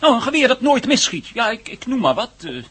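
A man's voice: very high voice, sing-song, a little fast, fairly bright tone, slightly rough, full character voice. A man playing an eager, flustered adventurer in a radio play, hesitant and stammering as he explains himself. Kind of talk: Dutch radio play acting.

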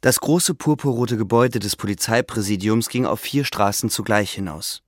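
A man reading scripted literary narration with a creaky voice. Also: on the low side, moderate pace, no room sound, studio quality.

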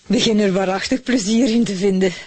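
An actress playing a keen citizen who delights in useless facts, in a radio play, with a husky voice. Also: female voice, medium pitch, fairly quick, darkish tone, slight background noise, natural voice. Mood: eager, pleased.

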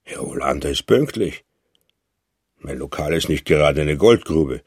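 An actor playing a terse, unsentimental village innkeeper in a radio play, speaking in short, matter-of-fact sentences. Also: male voice, extremely low pitch, animated, a little slow, darkish tone, breathy edge, no echo, clean studio sound, exaggerated voice. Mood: dry, matter-of-fact. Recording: faint music behind the voice.

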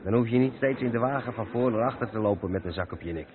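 An actor playing a practical, down-to-earth lorry driver in a Dutch radio drama. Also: male voice, on the low side, fast, lightly acted. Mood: matter-of-fact. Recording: muffled narrow-band old radio play, noisy.

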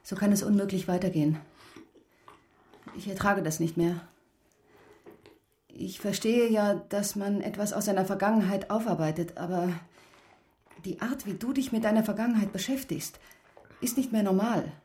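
An actress playing a fed-up, earnest wife in a radio drama, firm and deliberate in her reproach. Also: medium pitch, warm tone, close to an everyday voice. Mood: fed up, grave.

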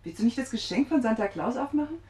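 Radio play kindly woman actress: soothing, mellow, brisk, recorded distant and roomy with music. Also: on the high side, lively intonation, natural voice. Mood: gently cheerful. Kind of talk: German radio drama acting.

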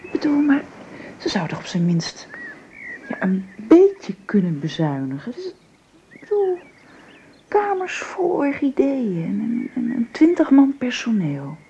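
A woman playing a newlywed bride in an intimate radio drama, murmuring softly and breathily, a little hesitant. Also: on the high side, huge pitch swings, unhurried, full character voice. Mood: curious, probing. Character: dreamy, curious newlywed bride on honeymoon. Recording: muffled, hissy old radio recording.

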